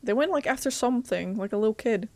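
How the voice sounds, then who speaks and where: A woman's voice: a little high, expressive, fast, darkish tone, no echo. A woman game streamer on a close mic, giving casual, joking, reactive commentary as she plays. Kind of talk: casual live gameplay commentary.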